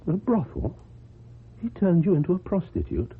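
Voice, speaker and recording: A man's voice: high-pitched, wildly swooping pitch, moderate pace, full character voice. A man acting in a radio drama as an earnest, devoted lover who looks back on his past, tender and hesitant. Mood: probing. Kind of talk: radio drama acting and first-person narration. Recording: muffled narrow-band old radio recording, clear noise.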